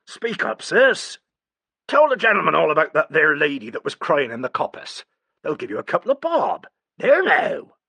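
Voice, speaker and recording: A man puts on a coarse working-class gardener with rough dialect speech. He sounds gloomy and blunt, pitched a little higher than the reader. The delivery is loud and crisp. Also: sing-song, moderate pace, dry recording, full character voice. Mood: gruff.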